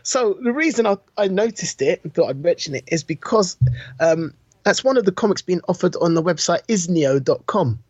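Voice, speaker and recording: Higher-pitched, enthusiastic man on a muffled recording. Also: lively intonation, normal speed, dry recording. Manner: informal, enthusiastic, emphatic. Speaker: podcast co-host. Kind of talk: casual enthusiastic podcast chat. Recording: dull, muffled lo-fi audio.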